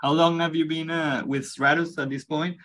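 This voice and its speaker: A man's voice: fairly high pitch, little pitch movement, normal speed, a bit bright. A man hosting a podcast interview, asking friendly questions with many hesitations and filler sounds. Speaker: podcast host.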